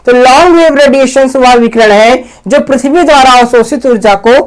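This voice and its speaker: A man's voice: very high voice, moderate pace, smooth, poor audio. A man teaching in a lecture style, explaining step by step with repetition, rhetorical questions and frequent check words.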